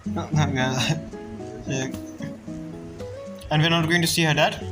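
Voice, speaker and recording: Female game streamer, low-pitched, offhand casual reactions, clear game music behind her. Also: fairly even intonation, a little slow. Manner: offhand short remarks, talking to game characters. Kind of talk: casual playthrough commentary.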